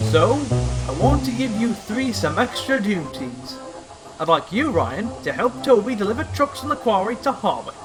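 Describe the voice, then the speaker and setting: A woman playing an authoritative, kindly male railway controller in a children's audio drama, formal and measured. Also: mid-range voice, sing-song, music in the background, close to an everyday voice. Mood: pleased, authoritative.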